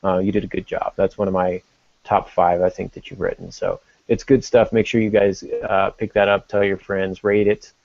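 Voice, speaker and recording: Low, creaky-voiced man hosting a podcast. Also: level tone, moderate pace, a little mellow, no echo. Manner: informal, conversational. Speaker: podcast host. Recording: dull lo-fi audio.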